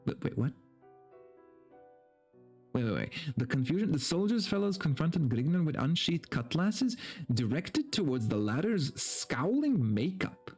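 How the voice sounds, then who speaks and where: A man's voice: a little high, very animated, measured pace, a little mellow, slightly rough, soft background music. A man doing a dramatic story reading for a stream, mock-serious and breaking into incredulous, sweary commentary.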